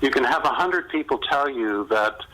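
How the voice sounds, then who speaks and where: A man speaking conversationally as an author being interviewed, in a halting, reflective way with thoughts often restarted mid-sentence. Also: mid-range voice, animated, sharp tone, poor audio. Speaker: novelist and screenwriter being interviewed.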